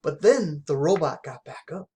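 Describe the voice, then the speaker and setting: A reader telling a children's adventure story aloud in an expressive, emphatic way. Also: medium pitch, normal speed, breathy edge.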